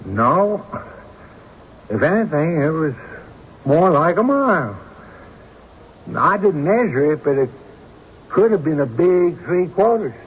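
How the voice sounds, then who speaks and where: A man playing an evasive, defensive rustic witness in a radio courtroom drama, with a folksy, halting, stammering delivery. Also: on the high side, very animated, breathy edge, exaggerated voice. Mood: hedging, uneasy. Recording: dull, noisy old radio recording.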